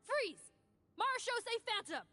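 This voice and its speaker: A woman's voice: very high-pitched, lively intonation, slow, sharp tone, soft background music, exaggerated voice. A woman playing a stern, commanding law enforcement officer in a video game. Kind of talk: video game dialogue acting.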